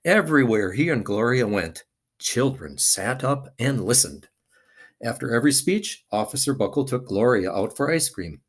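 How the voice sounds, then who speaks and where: A man's voice: medium pitch, animated, normal speed. A man reading a children's picture book aloud expressively, with dramatic emphasis.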